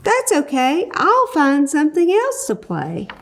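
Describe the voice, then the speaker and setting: A woman's voice: high-pitched, wildly swooping pitch, unhurried, heavily acted. A woman reading aloud, voicing a cheerful, easygoing young boy kitten in a children's storybook with an upbeat, sing-song manner.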